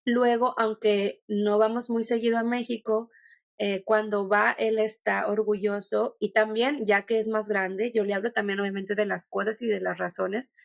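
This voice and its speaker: A woman's voice: medium pitch, level tone, moderate pace, creaky, no room sound, clean studio sound. A Mexican woman with a Mexican accent, a podcast guest talking thoughtfully and meandering, with many restarts. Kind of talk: conversational podcast interview.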